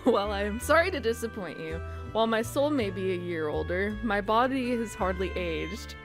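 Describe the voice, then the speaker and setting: A woman's voice: medium pitch, expressive, over music, natural voice. Woman voicing a proud, formal teenage elf boy. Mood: huffy, proud. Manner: formal, lofty, drawn-out emphasis.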